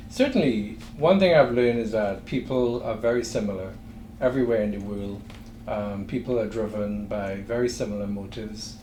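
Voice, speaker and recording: A middle-aged man with a Trinidadian accent, a guest speaking in a thoughtful, measured way with frequent pauses. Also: on the low side, a little mellow. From Trinidad and Tobago. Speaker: guest entrepreneur. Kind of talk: interview conversation. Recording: echoey room with faint noise.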